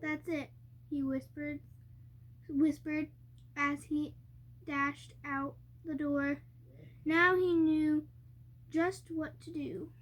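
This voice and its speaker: A young child reading a storybook aloud, haltingly and word by word, stumbling over harder words. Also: high-pitched, little pitch movement, a bit bright.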